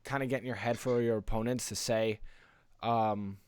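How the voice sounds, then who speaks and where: A man co-hosting a podcast, chatting informally and playfully in an animated way. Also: fairly low pitch, moderate pace, clear, resonant tone, no room sound. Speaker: podcast co-host. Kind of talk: casual chat.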